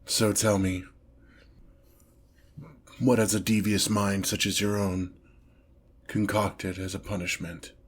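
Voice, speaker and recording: Demon lord, played by a man for fantasy ASMR roleplay: ancient, imperious, menacing, low-pitched, very slow and theatrical. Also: flat delivery, breathy edge. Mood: incredulous, exasperated. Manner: pompous, theatrical, deliberate drawn-out delivery.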